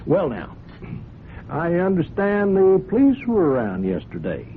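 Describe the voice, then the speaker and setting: A man's voice: high voice, huge pitch swings, a little slow, exaggerated voice. An actor playing a folksy, sly, greedy male blackmailer in a radio drama, with an ingratiating drawl. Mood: slyly insinuating. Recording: muffled, noisy 1970s radio recording.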